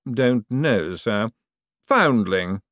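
A man voicing a quiet, deferential male servant who is also an assassin, speaking in a calm, flat way. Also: on the low side, drawn-out words, dry recording, studio quality, heavily acted.

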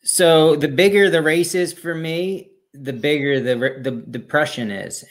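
A man co-hosting a running podcast, talking in a relaxed, rambling, self-deprecating way. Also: fairly high pitch, measured pace. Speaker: podcast co-host. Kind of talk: casual chat.

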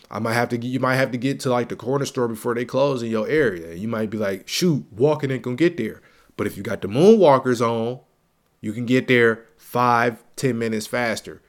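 A man's voice: medium pitch, normal speed. Stuffy young man, gaming podcast host, slangy, repeats himself, hyped. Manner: slangy, repeats phrases for emphasis, hype-man energy. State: stuffy, congested. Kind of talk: casual solo gaming podcast.

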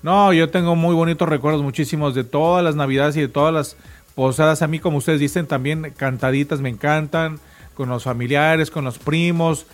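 A man's voice: on the high side, steady tone, a little fast. A man with a Mexican accent hosting a casual morning show chat. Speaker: radio show host.

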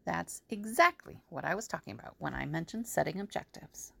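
A woman's voice: on the low side, expressive, a little fast, vocal fry, pushed and bright, no echo, full character voice. A woman playing a practical, serious, organized character in an audio drama. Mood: matter-of-fact.

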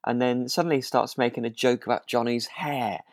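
A man's voice: mid-range voice, fairly quick, no room sound, studio quality. A man co-hosting a casual podcast discussion, speaking in a hesitant, rambling, tentative way. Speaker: podcast co-host. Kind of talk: casual discussion of a radio drama.

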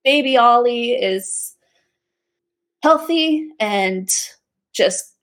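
A woman's voice: fairly high pitch, lively intonation, very slow, a bit bright. A young woman co-hosting a casual podcast, chatting, laughing often and using many fillers. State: pregnant. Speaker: podcast co-host. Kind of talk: casual chat.

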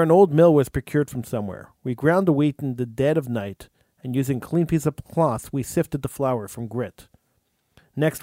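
A man, the host, reading a true story aloud in an earnest, measured way, with an occasional stumble over words. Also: a little high, darkish tone, no echo, clean studio sound. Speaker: radio host.

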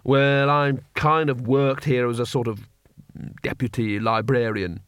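A man voicing a hapless, pessimistic wizard who complains in a flat, gloomy, resigned way. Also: mid-range voice, measured pace, smooth, no echo, studio quality, lightly acted. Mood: sheepish. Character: glum, pessimistic, cowardly hapless male wizard.

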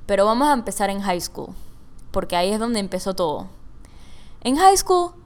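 A young woman hosts a relaxed personal podcast, talking through her thoughts in a mixed Venezuelan and Panamanian Spanish accent. Her voice is smooth and clear, pitched squarely in the middle. She talks in a chatty way, slipping English words into her sentences. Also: normal speed. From Venezuela, raised in Panama. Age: young adult. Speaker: podcast host. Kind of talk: casual personal podcast monologue.

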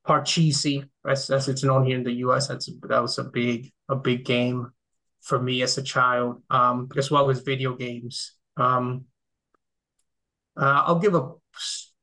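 A middle-aged man from the Dominican Republic, a game critic answering interview questions in relaxed, thoughtful chat with many fillers and restarts. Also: mid-range voice, unhurried, rough recording. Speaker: podcast guest, game critic. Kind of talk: casual conversational interview.

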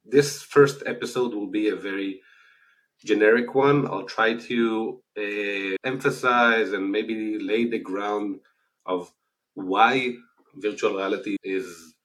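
A man's voice: fairly low pitch, a bit bright. Israeli-accented man hosting a podcast. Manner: earnest, explanatory, frequent "uh" hesitations. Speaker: podcast host. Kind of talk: casual solo podcast talk.

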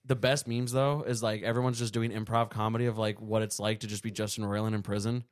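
A man's voice: fairly low pitch, level tone, fast talker, no room sound, studio quality. A man co-hosting a casual podcast, rambling and laughing between restarts. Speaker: podcast co-host. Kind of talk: casual chat.

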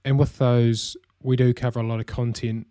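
Laid-back New Zealand man, mellow voice. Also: medium pitch, normal speed, no echo, clean studio sound. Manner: relaxed, many "um" fillers, easy laughter. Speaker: podcast co-host. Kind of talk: casual podcast chat.

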